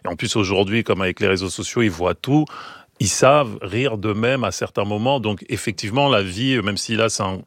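Casting note: man from Cameroon, low voice, loose and rambling, dry studio sound. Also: a little fast, no echo. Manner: relaxed, rambling, many fillers and restarts. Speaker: film director and actor, radio guest. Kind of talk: casual interview chat. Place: radio studio.

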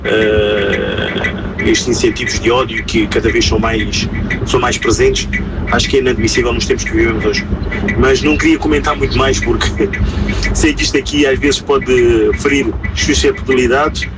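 A man's voice: medium pitch, fairly even intonation, normal speed. A man from Portugal with a Portuguese accent leaving a voice message for a radio show, hesitant and full of fillers and restarts. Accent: European Portuguese. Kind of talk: recorded voice message. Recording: voice message with loud traffic noise.

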